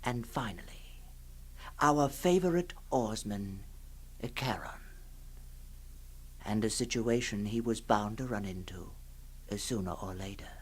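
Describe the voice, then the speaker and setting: An actor playing a sly séance host introducing a radio horror anthology, hushed, sinister and teasing, with hesitant phrasing. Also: male voice, fairly low pitch, unhurried, pauses often, slightly rough. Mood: sly, inviting. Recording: 1960s radio drama with faint music.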